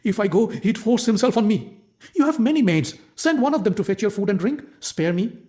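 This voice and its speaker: A proud, wronged young maid, as a male narrator voices her in a storybook tale. She pleads and demands justice in turn. Her voice sits fairly high. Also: expressive, a little fast, mellow. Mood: pleading. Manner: pleading, then fiercely indignant. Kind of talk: storybook character voice.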